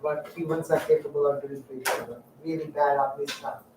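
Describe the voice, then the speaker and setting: A middle-aged man from Sri Lanka telling personal stories in a public talk, halting and reflective with frequent fillers. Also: on the high side, fairly even intonation, noisy background. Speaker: guest speaker. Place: lecture room. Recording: echoey room, distant mic.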